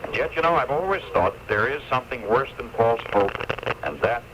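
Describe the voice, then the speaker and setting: An older man giving an earnest, deliberate, folksy commentary as a radio newsman of the 1970s. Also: medium pitch, lively intonation. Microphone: radio played through headphones into cassette recorder. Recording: noisy lo-fi 1976 AM radio recording.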